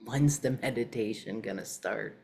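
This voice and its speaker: A woman leading a guided meditation, speaking in short, measured phrases with pauses between them. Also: extremely low pitch, a little mellow, slight background noise. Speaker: meditation teacher.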